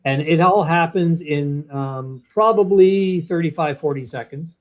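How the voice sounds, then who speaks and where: Guy on an interview walking thru a product step by step, fairly high voice, animated. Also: a little slow, lo-fi sound. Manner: animated, walks through steps one by one. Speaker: guest, sales training software executive.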